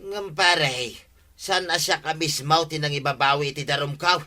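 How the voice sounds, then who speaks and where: Sharp, forceful aggrieved village husband. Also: male voice, on the high side, normal speed. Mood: indignant. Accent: Ilocano. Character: aggrieved hot-headed village husband, stubborn complainer. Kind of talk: Ilocano radio comedy drama acting.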